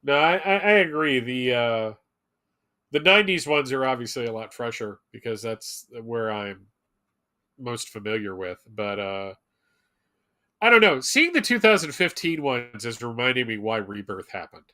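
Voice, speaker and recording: A man co-hosting a live fan show, reading a loosely scripted rundown with hesitant pauses and wry asides. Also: mid-range voice, lively intonation, sharp tone. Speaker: show co-host presenting comics history segment.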